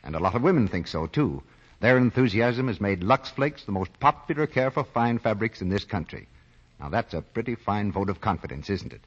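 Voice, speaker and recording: An American man announcing and pitching for a sponsor in 1940s radio style. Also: very animated, a little fast, slightly rough. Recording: muffled vintage radio broadcast.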